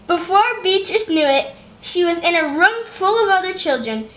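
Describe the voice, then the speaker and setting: Children's storybook host, female, notably high voice, read-aloud narration. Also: lively intonation, normal speed, fairly bright tone.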